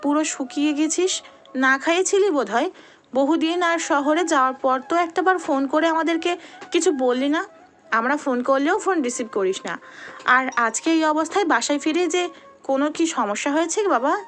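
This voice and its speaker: A fretful, doting family elder, played by a woman in an audio love-story drama. She speaks in a high voice, fussing with concern. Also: moderate pace, fairly bright tone. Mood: worried, fussing. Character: worried, doting older female relative.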